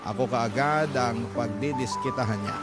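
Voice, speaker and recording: A man's voice: mid-range voice, normal speed, lightly acted. An actor playing a man who recounts a painful, abused boyhood in emotive first-person radio drama narration. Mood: sorrowful. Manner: aggrieved, emotive storytelling. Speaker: letter-writer narrator. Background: loud music.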